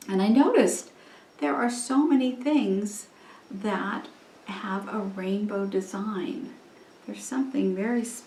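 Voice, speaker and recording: A woman giving an informal, reflective children's church lesson, thinking aloud with halting pauses, recorded at a distance in a roomy space. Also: medium pitch, warm tone. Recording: roomy, distant mic, faint noise.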